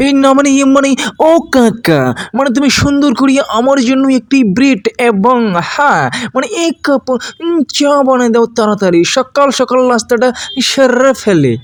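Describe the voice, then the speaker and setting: A man voicing a spoiled, scheming only son in a comedy cartoon, talking haltingly with affected English catchphrases. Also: extremely high pitch, no room sound, clean studio sound. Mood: bossy. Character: spoiled, scheming only son demanding gifts from his parents.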